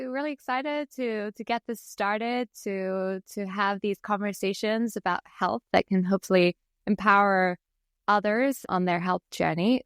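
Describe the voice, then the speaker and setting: A woman from South Africa with an accent softened by years abroad, co-hosting a podcast in a conversational, somewhat halting way. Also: mid-range voice, smooth, dry recording, clean studio sound. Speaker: podcast co-host.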